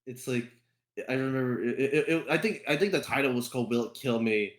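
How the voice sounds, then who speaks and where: A man's voice: mid-range voice, a little fast. Roomy-sounding man rambling and swearing. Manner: heavy casual swearing, rambling. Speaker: podcast co-host. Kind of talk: casual chat.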